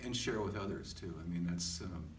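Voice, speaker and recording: A middle-aged man talking casually and reflectively as a podcast co-host, halting and self-deprecating. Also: sits low. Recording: echoey, distant mic with faint noise.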